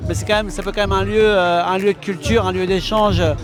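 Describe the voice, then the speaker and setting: A man giving casual, halting interview remarks. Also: high-pitched, little pitch movement. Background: clearly audible music.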